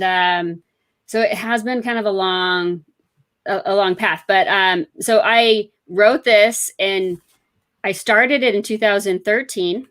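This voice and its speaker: A woman's voice: medium pitch, a bit bright. A woman author being interviewed, telling her story in a chatty, rambling way full of fillers. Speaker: author guest. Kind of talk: casual interview chat.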